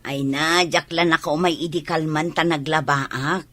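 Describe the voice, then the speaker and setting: Female actor as village woman, Ilocano Filipino accent, forceful, dry studio sound, radio drama. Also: low voice, steady tone, moderate pace, dry recording. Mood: matter-of-fact.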